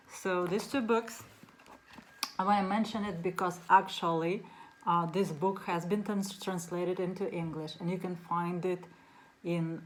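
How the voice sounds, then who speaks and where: A middle-aged woman speaks English with a Russian accent, her voice sitting a little low. She talks in an unhurried, casual way, filling pauses with ums and uhs. A faint background hiss sits under her voice, recorded indoors on a camera mic. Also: rough recording. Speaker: book reviewer. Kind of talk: casual book talk to camera.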